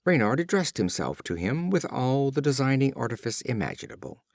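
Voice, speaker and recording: A man reading storybook fables as a scripted audiobook narration, in an expressive storyteller's delivery. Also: medium pitch, fairly quick, vocal fry, dry recording, clean studio sound. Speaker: audiobook reader.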